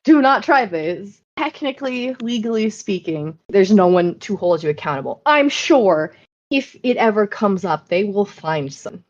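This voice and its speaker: An American woman hosting a podcast, explaining things in an informal, animated, sweary way. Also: mid-range voice, moderate pace, dull audio. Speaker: podcast host. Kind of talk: casual explanatory podcast chat.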